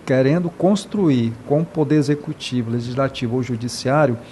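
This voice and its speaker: An earnest man from Brazil speaks in an interview at a mid pitch. He talks with passion, often stops to restart, and keeps adding tag questions. A faint noise runs under the recording. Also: normal speed, warm tone. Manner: impassioned, many hesitations and repeated "né".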